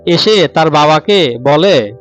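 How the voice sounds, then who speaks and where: A man's voice: fairly high pitch, expressive, takes it slowly, soft background music. A man narrating a scripted cartoon story as its storyteller.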